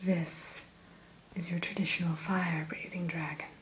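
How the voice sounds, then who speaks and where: A woman whispering softly as a dragon hatchery keeper in an ASMR roleplay, gentle and a little formal, close to the mic. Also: on the low side, level tone, slight background noise, poor audio. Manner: soft whisper throughout; gentle, unhurried, mildly formal.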